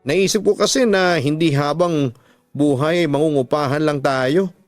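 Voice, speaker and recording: Man playing a remorseful husband, earnest and pleading, fairly high voice, dry close sound, soft music underneath. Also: measured pace, no echo, natural voice. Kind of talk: radio drama acting.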